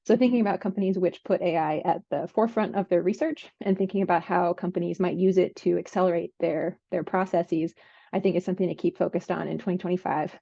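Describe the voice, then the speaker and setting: Creaky, low-voiced woman, dry sound. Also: dark tone, dry recording. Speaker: biotech analyst. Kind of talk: conversational market briefing. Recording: slightly dull, lo-fi call audio.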